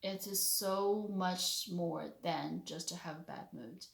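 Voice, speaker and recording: A woman in her thirties from China, speaking English with a Chinese accent as a non-native speaker, giving an earnest personal faith testimony in a halting way, searching for words. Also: fairly low pitch, vocal fry, roomy sound. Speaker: vlogger, stay-at-home mother.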